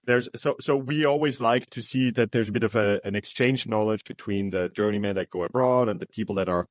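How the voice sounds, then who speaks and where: Low-voiced man interviewing, chatty. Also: fairly quick, no room sound. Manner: chatty, lots of fillers like "you know". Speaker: interviewer for recruitment agency. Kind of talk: casual interview questions.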